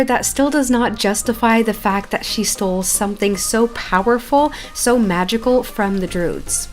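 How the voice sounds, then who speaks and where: A woman hosting a gameplay video in a chatty, enthusiastic way, giving upbeat commentary. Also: on the high side. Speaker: YouTube gaming host. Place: indoor voice-over over game footage. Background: background music.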